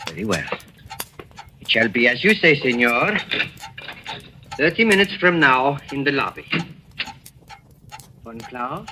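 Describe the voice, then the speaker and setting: A sly Spanish-accented fixer. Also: male voice, mid-range voice, very animated, measured pace, pauses often. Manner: smooth, ingratiating, sly. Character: sly, oily Latin American fixer. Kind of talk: 1940s radio acting. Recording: old radio recording with faint hiss.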